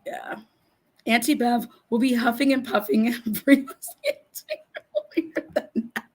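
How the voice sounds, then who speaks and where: A middle-aged woman hosting a casual livestream, hoarse and raspy, reading viewer comments and ranting bluntly with lots of swearing. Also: on the high side, steady tone, a little slow. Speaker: livestream host.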